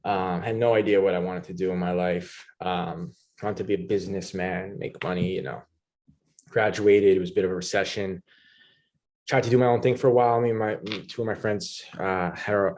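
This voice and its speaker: A man's voice: deep voice, a little fast. A man in his thirties with a New York accent, talking casually as a podcast guest, with many fillers and restarts. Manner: relaxed, many "you know" fillers and restarts. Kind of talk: casual interview talk.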